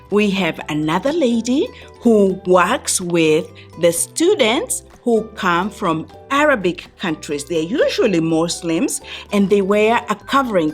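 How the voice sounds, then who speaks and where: Slow, lively woman addressing young children. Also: medium pitch. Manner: simple, explanatory, with questions to the listening children. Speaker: refugee center worker reading a picture book to children. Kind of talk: read-aloud and talk addressed to young children.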